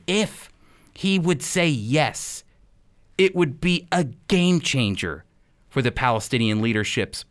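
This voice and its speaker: A man's voice: mid-range voice, a bit bright, clear, resonant tone. A man delivering prepared, persuasive commentary as a program host, emphatic and using rhetorical repetition.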